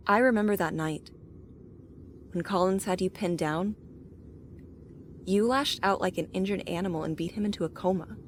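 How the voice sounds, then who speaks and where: A woman plays a blunt, wry female vigilante teammate. Her voice is on the low side, delivered in audio drama style over faint ambient noise. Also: normal speed, pauses often, natural voice. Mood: blunt, recalling.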